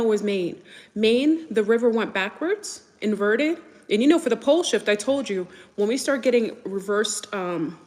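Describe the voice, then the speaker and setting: A woman's voice: mid-range voice, long reverb tail. A woman whose first language is French, chatting casually and rambling with viewers on a livestream, full of fillers and restarts. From United States. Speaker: livestream host.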